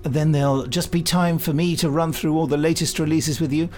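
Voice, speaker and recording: A man's voice: on the high side, fairly even intonation, fairly quick, a little mellow. Playful middle-aged Englishman co-hosting a podcast, music behind. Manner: rambling, mock-grand, playful. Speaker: podcast co-host. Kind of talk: jokey podcast chat with bits of scripted announcing.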